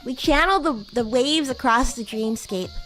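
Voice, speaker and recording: A woman's voice: high-pitched, normal speed, a bit bright, faint music behind, rough recording. A woman voicing a bratty, sweet young demon girl in casual roleplay chat, with hesitant, girlish delivery. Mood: chatty. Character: bratty, sweet young female demon classmate from another world. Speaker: VTuber streamer.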